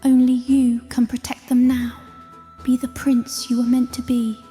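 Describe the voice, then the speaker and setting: A woman playing a gentle, loving sister's spirit in a fantasy audio drama, soft and breathy. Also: sits high, completely flat delivery, measured pace. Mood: tender, solemn. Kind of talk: cinematic audio drama acting. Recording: long reverb over faint music.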